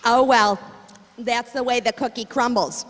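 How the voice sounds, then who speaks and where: A woman's voice: fairly high pitch, steady tone, a little slow. A woman playing a blunt, unsympathetic gangster's wife in a 1930s-style radio comedy. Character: Zeresh, blunt gangster's wife who shrugs off his troubles. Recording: echoey hall, faint noise.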